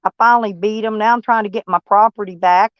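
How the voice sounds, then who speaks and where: Live-stream host: a blunt, folksy Texas-accented woman, smooth voice, dull lo-fi audio. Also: medium pitch, fairly even intonation, fast, no echo. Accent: Texan. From Kentucky, USA. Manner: rambling, folksy, blunt and swearing. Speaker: livestream host. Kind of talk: casual live-stream chat with viewers.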